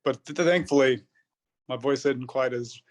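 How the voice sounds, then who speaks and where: A man's voice: mid-range voice, moderate pace. A man in his thirties, a pharmacist guest on a podcast, answering casually in a rambling way. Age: 30s. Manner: rambling, many fillers and restarts.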